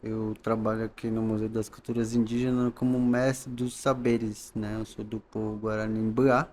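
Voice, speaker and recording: A man from the coast of São Paulo, Brazil, speaking as a podcast guest in a calm, halting way, often ending phrases with tag questions. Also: on the low side. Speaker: guest, knowledge keeper. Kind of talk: podcast interview.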